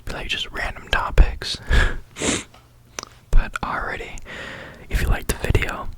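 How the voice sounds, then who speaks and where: A tired young adult YouTuber telling a story in a soft unvoiced whisper, rambling with many likes and ums. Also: measured pace.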